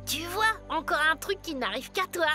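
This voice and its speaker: A high, sardonic schoolboy voice for a cartoon sidekick. He is sceptical and teasing, and music plays clearly behind him. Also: lively intonation, normal speed. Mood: exasperated. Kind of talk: animated cartoon dialogue.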